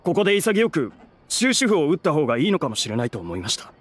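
A man's voice: a little high, huge pitch swings, fast, breathy edge, exaggerated voice. A man voicing a polite, earnest, downcast young theatre performer in a game, with a deferential manner. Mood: sorrowful. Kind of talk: Japanese game voice acting.

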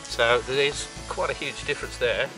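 A man's voice: medium pitch, moderate pace, music in the background, poor audio. Middle-aged man, casual boat vlog host. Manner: relaxed, practical, matter-of-fact. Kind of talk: casual chat and voice-over narration. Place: outdoors in a boatyard.

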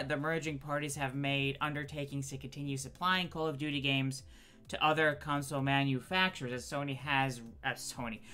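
A man in his thirties hosting a gaming news show from home, talking through a headset mic, his voice raspy and nasal from allergies, chatty with lots of ums. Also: a little high, sharp tone. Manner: chatty, many ums, reads quotes from screen. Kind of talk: casual news commentary. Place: home streaming room.

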